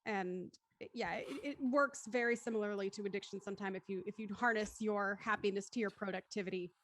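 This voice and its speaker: A woman's voice: medium pitch, fairly even intonation, a little fast, fairly bright tone, a little hiss or hum. A woman talking casually and reflectively in a group discussion.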